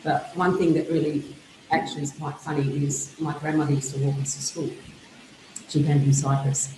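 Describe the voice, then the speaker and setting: Soft-spoken author woman, echoey room. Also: low voice, level tone, moderate pace, background noise. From Australia. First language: Greek. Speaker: author being interviewed. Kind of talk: reflective interview answers.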